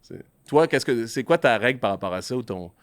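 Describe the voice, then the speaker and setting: A man's voice: medium pitch, lively intonation, fairly quick, no room sound. A man with a Quebec French accent hosting a casual interview, hesitant and chatty, laughing easily. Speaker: podcast host.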